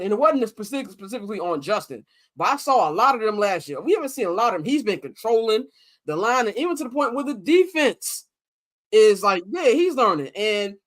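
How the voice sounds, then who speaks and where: A man co-hosting a podcast, talking sports in a casual, slangy way and acting out what players and coaches said. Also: sits high. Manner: animated, acts out what others said; slangy, loose and conversational. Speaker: podcast co-host.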